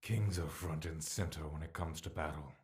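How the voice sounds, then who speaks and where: A man's voice: extremely low pitch, moderate pace, darkish tone. A man playing an ancient, scornful spirit trapped in a mortal body, with a menacing, theatrical, tempting manner in an audio drama. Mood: seductive, goading.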